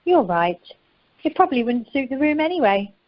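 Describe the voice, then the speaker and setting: A woman's voice: high voice, sing-song, normal speed, no room sound. A woman playing a mischievous, impulsive, art-mad young bride in an amateur radio play over the telephone. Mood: gushing. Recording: muffled telephone conference line.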